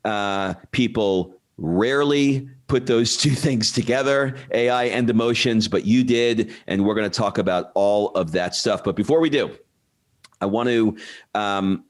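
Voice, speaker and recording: A man with a fairly low voice hosts an interview show. He talks informally and conversationally, in loose phrasing peppered with small filler phrases. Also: a little slow. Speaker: podcast host.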